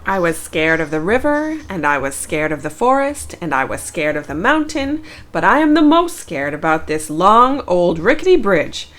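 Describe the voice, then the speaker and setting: A woman reading the part of an anxious, honest, good-hearted bear in a children's storybook, earnest and deliberate. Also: lowish voice, sing-song, fairly bright tone. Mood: anxious confession. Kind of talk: children's storybook read-aloud.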